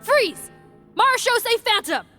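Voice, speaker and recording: A woman's voice: extremely high pitch, very animated, takes it slowly, sharp tone, faint music behind, exaggerated voice. A woman playing a stern, resolute law officer in a video game, speaking with authority. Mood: stern, commanding.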